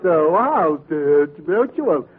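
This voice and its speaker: A man's voice: a little high, big pitch swings, takes it slowly, a faint crowd. An actor playing a dim-witted, bumbling, hesitant man in a vintage radio comedy. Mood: lovestruck. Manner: hesitant, dopey, starts with uh. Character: dim-witted, bumbling, lovestruck tavern regular. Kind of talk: 1940s radio comedy acting. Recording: vintage radio, narrow band, loud audience, hiss.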